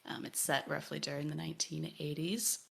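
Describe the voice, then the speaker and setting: A woman's voice: sits low, flat delivery, fairly quick, creaky. A woman co-hosting a podcast, giving a conversational explanatory summary and leaning emphatically on key words. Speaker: podcast co-host.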